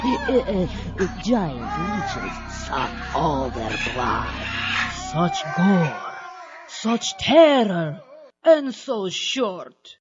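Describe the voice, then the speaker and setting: A man's voice: a little high, dull audio. A man playing a pompous mad-scientist doctor who hosts horror movies, narrating in a sardonic, theatrical style. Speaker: horror show host and narrator. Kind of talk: campy mock-horror narration.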